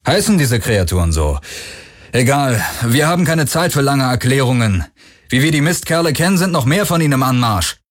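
Man acting rugged, commanding male boss, pitched up. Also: big pitch swings, moderate pace. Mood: urgent, assertive. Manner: firm, commanding. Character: rugged, cunning male boss, combative and urgent.

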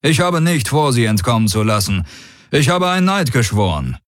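Man voicing a proud, high-pitched alien warlord. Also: sing-song, normal speed, no echo, clean studio sound, lightly acted. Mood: confident. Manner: commanding, smugly self-assured. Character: proud, bold alien warrior warlord, coolly mocking. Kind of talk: audiobook character dialogue.

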